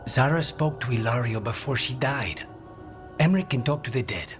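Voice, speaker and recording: A man's voice: mid-range voice, animated, moderate pace, over music, close to an everyday voice. Man playing a brooding, curt assassin. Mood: grim, controlled. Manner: curt, controlled. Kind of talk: fantasy video game acting.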